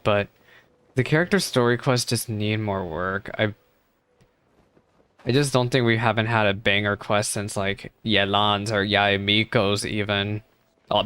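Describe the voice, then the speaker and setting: A streamer chatting candidly and reacting with quick exclamations to a game's cutscenes on a close mic. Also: mid-range voice, fairly bright tone, clean tone, no echo. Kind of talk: casual reaction commentary.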